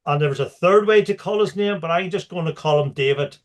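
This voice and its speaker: A man hosts an interview over a video call. He is chatty and welcoming and restarts his sentences now and then. His voice sits slightly high. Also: fairly quick. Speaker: host.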